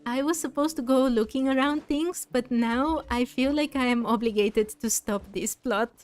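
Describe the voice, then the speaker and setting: A woman's voice: high-pitched, normal speed, darkish tone, faint music behind. A woman hosting a gaming video, commenting casually and thinking aloud as she plays. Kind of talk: casual let's play commentary, reading game text aloud.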